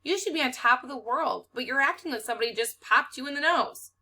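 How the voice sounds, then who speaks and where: Woman playing a spirited, bright-voiced female aide. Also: sits high, lively intonation, fast, voice pushed up, heavily acted. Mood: concerned. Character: young female school aide, spirited, protective, encouraging.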